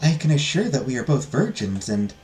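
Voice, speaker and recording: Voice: mid-range voice, sing-song, fairly quick, a little mellow, reverberant, slight background noise, natural voice. A performer voicing a young fugitive princess, earnest, weary and courteous, with formal storybook phrasing. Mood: hopeful, earnest.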